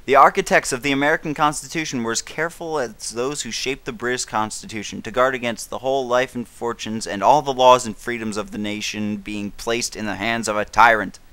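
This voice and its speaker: A man's voice: medium pitch, fairly even intonation, brisk, few pauses, bright, forceful tone, smooth, no echo, lightly acted. A man playing a grave, defiant elder British statesman, giving a 1930s radio address with emphatic, oratorical delivery. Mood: earnest, measured. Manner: declamatory, emphatic, dramatic stresses, occasional stumbles.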